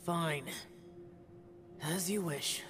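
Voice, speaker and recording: Game role: brooding demon slayer, terse and grave, very slow, strong music underneath. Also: male voice, on the high side. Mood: weary, stubborn. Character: brooding, weary immortal male demon slayer. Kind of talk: video game voice acting. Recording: loud music bed underneath.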